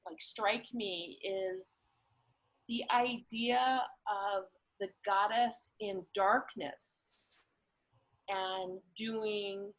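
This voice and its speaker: A woman speaks at an unhurried pace, with a medium pitch. She is thoughtful and somewhat halting, feeling her way through ideas as in a reflective, casual conversation. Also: steady tone, rough recording. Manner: halting, thoughtful, searching for words.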